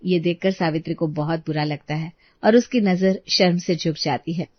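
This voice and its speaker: A woman's voice: fairly low pitch, moderate pace, no room sound, lo-fi sound. A woman storyteller reading a scripted moral tale as narration.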